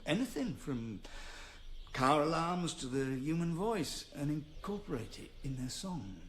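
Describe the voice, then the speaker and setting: Gruff loner birdwatcher in a radio play, kinda high voice, slow n halting, noisy outdoor background. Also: male voice, very animated, fairly bright tone. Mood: wistful, absorbed. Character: gruff reclusive male birdwatcher, wary but softening. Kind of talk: naturalistic radio drama acting.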